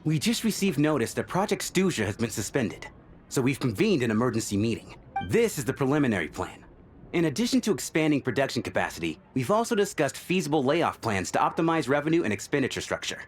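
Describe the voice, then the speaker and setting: A man's voice: medium pitch, very animated, fast, soft background music, close to an everyday voice. A man playing an eager, deferential business aide in a video game drama. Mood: businesslike.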